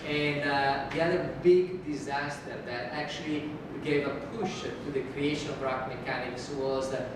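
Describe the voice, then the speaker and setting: A professor with an Italian accent, a native Italian speaker, giving a university lecture in a careful, deliberate way. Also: male voice, steady tone. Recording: echoey hall from far off, rumble.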